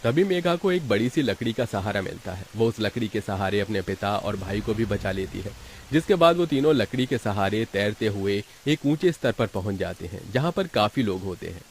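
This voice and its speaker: A man's voice: on the low side, expressive, normal speed, slight background noise. A man reading scripted narration as the storyteller of a moral tale.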